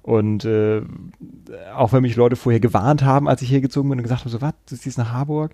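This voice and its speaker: A man's voice: mid-range voice, fairly even intonation, quick pace, mellow, no echo, studio quality. A man from Kiel in northern Germany chatting casually as the host of a conversation. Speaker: podcast host. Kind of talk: casual chat.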